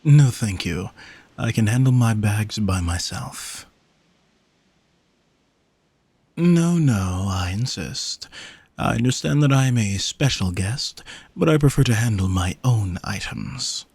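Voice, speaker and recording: Vain, teasing gentleman sea monster for audio roleplay, played by a man: lowish, formal, haughty delivery, very dry close sound. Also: animated, unhurried, breathy edge, no echo, exaggerated voice. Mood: polite, irritated. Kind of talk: scripted audio roleplay, spoken to the listener.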